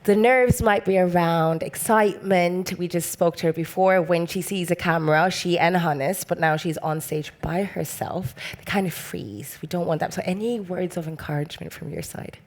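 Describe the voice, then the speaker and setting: A woman's voice: on the low side, moderate pace, hardly any pauses. A woman hosting a live award show on a theater stage, bubbly and laughing as she chats with a guest.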